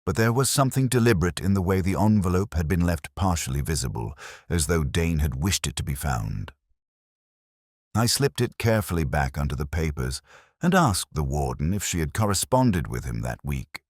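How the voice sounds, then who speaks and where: A man playing a melancholy, erudite older police inspector, narrating a detective story in a measured, reflective and wry literary style. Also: a bass voice, big pitch swings, darkish tone, dry recording, clean studio sound, full character voice. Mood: brooding, reflective. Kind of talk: slow literary first-person detective narration.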